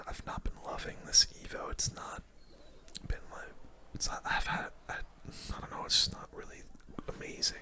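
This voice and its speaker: A young man whispering breathy, casual, slangy game commentary in an ASMR style, close to the microphone. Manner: casual, slangy, reacting to play. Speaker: gaming video host.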